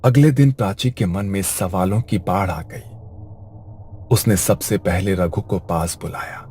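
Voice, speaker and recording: A man's voice: sits low, measured pace, dark tone, a little hiss or hum. A man narrating a scripted horror story in a suspenseful storytelling manner.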